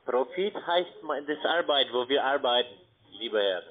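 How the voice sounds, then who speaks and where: A German speaker, a man working as a call-centre agent, talking curtly and insistently in halting phrases over the telephone. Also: mid-range voice, lively intonation, slight background noise. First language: not German. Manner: curt, insistent, in halting non-native phrasing. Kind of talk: phone sales call, argumentative. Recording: tinny narrow phone line.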